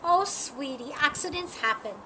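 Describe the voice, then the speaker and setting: Woman acting caring mom, high voice, protective, soothing yet firm, storybook, noisy recording. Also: animated, a little slow. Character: caring, protective mother of a young girl. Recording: clearly noisy background.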